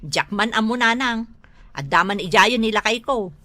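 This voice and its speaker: A woman's voice: on the high side, expressive, normal speed, pushed and bright. A woman playing a strict, scolding wife who nags her husband in an Ilocano radio drama, with an Ilocano accent. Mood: nagging, irritated. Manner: scolding, threatening, exclamatory.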